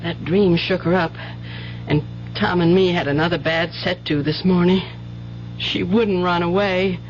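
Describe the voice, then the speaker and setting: A woman's voice: lowish voice, steady tone, measured pace, natural voice. An actress playing an anxious, doting rural mother in a 1970s radio drama, with folksy, tender speech. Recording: muffled old radio broadcast, music bed.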